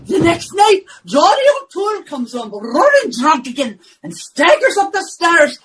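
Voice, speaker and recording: Gossipy neighbor: piercingly high, animated, loud radio comedy, noisy clicking recording. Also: female voice, unhurried, exaggerated voice. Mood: loud gossiping. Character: loud gossiping Irish neighbor woman shouting out a window. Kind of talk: old-time radio comedy acting.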